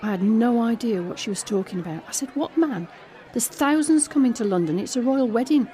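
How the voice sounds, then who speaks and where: Mellow young woman confiding as an actress plays her. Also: a little high, animated, brisk, some chatter behind, close to an everyday voice. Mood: puzzled, wary. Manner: confiding, conversational storytelling that re-enacts dialogue. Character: Alex, young woman, guarded, frank, tender daughter confiding a secret. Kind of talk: dramatic first-person monologue in an audio drama.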